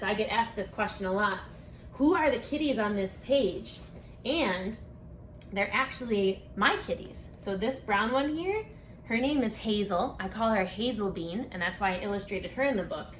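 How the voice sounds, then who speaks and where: A woman reading a rhyming picture book aloud for children, speaking expressively and deliberately, straight to her young listeners. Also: medium pitch, fairly bright tone. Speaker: children's book author and read-aloud presenter. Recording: echoey room with faint background noise.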